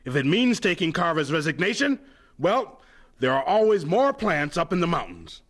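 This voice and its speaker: Authoritative, lively-pitched male school head. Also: moderate pace, noisy background. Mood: impatient. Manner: clipped, formal, authoritative. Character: stern, impatient, authoritative male college head who can soften. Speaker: school principal. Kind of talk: audio drama acting.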